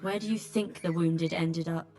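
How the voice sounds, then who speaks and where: An actress playing a weary, blunt healer who tends refugees, with dry exasperation, in a dramatic video game. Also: female voice, fairly low pitch, steady tone, moderate pace, a little mellow, faint music behind, natural voice. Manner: exasperated, dry, plain-spoken.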